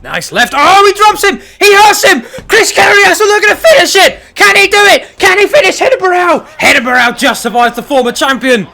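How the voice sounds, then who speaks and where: A young man casually commentating a fight video game, excitable and breaking into shouts at big moments. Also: very high voice, a little fast, gravelly, few pauses.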